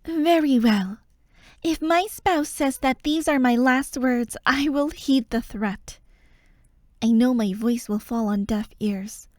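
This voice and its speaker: High-voiced, defiant royal heir played by a woman. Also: a little mellow, dry recording, clean studio sound. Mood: solemn defiance. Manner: formal, solemn, measured oratory. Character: proud, defiant royal heir facing execution. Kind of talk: dramatic fantasy audio roleplay speech.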